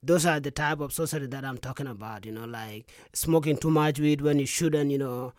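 A young man from South Sudan with a South Sudanese accent and Dinka as his first language, a musician guest talking softly in a radio studio interview. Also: medium pitch, a little fast, no room sound. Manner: soft-spoken, frequent "yeah" and "you know".

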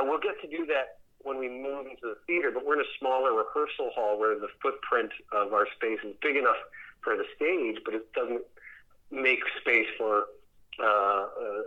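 A man answering interview questions as a theater director, speaking in a thoughtful, rambling way and repeating words as he searches for the next one. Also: medium pitch. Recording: muffled radio or phone-call sound.

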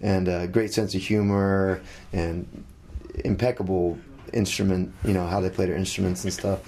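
A middle-aged musician being interviewed, talking casually with many halting restarts. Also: male voice, deep voice, level tone, warm tone.

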